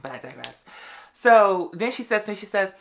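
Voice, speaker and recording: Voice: mid-range voice, very animated, normal speed, creaky, a bit bright. A young adult with an American accent telling stories to viewers in a sassy, slangy, conversational way. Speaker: vlogger. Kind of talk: casual storytelling to viewers.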